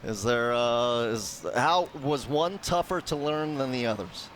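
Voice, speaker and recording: A man's voice: medium pitch, expressive, measured pace, fairly bright tone, a little hiss or hum. A man hosting a live radio interview from a restaurant, upbeat and chatty with frequent restarts. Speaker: radio show host. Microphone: headset.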